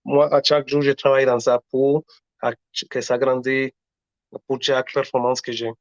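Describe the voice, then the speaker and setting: Singer guest, male, halting and earnest, middle pitch, muffled lo-fi recording. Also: little pitch movement, no echo. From Latin America. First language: Spanish. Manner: earnest, halting, frequent restarts. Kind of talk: casual interview talk. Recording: dull lo-fi audio.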